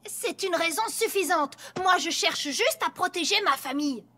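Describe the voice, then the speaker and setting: A woman's voice: extremely high pitch, expressive, normal speed, full character voice. A woman playing a bossy, protective female farm pony, a stubborn and suspicious big sister in a cartoon. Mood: scolding, protective. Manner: firm, scolding, forceful. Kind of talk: animated cartoon dialogue dub.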